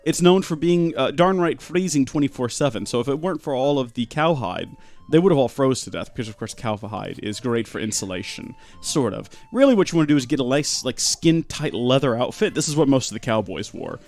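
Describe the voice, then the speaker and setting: A young man giving rambling, deadpan joking commentary while streaming a video game live. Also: medium pitch, brisk, soft background music.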